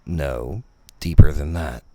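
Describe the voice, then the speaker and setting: Very deep-voiced, slow deadpan meditation guide, male. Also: no room sound. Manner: deadpan, instructing, condescending. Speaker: narrator of a parody guided meditation. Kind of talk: scripted parody guided meditation narration.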